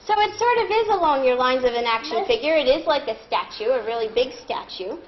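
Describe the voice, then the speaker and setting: A woman's voice: high-pitched, expressive, a little fast. A woman leading an interactive read-aloud lesson as a teacher, encouraging and explaining her thinking aloud. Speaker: elementary school teacher. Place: classroom with young pupils. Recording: echoey classroom, dull sound, faint noise.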